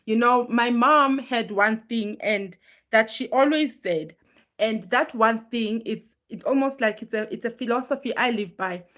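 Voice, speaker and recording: A woman with a fairly high voice hosts a casual live chat, her throat hoarse and strained from being sick. She pushes her voice out as she talks earnestly and greets viewers by name. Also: measured pace. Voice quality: normally very loud voice, pushing it now. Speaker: live stream host.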